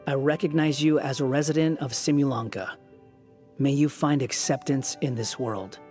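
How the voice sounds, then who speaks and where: A man voicing a cool but kindly young fairy-tale hero in a video game, measured and matter-of-fact. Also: mid-range voice, fairly even intonation, darkish tone, soft background music, lightly acted. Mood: sincere.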